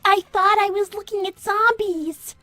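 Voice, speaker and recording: A woman's voice: extremely high pitch, steady tone, unhurried, sharp tone, no echo, clean studio sound, faint music behind, exaggerated voice. A woman voicing a dutiful young artificial-intelligence daughter in a cartoon roleplay, polite, worried and hesitant. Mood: reporting.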